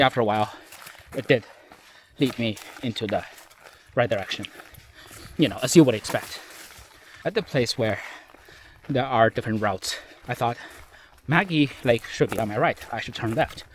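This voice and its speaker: A man's voice: mid-range voice, a little hiss or hum. A man recording himself while hiking alone outdoors, sounding nasally and a bit tired, talking in a rambling, self-mocking way. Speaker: solo hiker vlogging. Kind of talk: casual self-recorded trail diary. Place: outdoors on a forest trail in rain. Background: stream and forest ambience.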